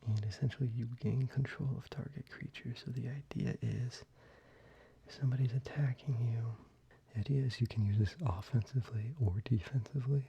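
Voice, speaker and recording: A man whispers and speaks softly in a relaxed, casual way, with a gravelly edge and a dark, mellow tone. The recording is a little muffled, with a faint hiss. Faint clicks of handled cards sit in the background. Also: medium pitch, fairly quick. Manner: soft-spoken, mostly whispered, casual with fillers. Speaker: ASMR creator. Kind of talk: soft-spoken ASMR card showcase.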